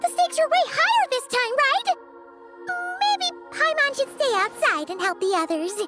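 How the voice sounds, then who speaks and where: A woman voicing a chirpy, cheeky little fairy girl in a video game, squeaky and excitable. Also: moderate pace, music in the background. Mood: anxious. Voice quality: squeaky, childlike. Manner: speaks of herself in third person, excitable. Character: tiny floating childlike fairy girl companion, chirpy and cheeky.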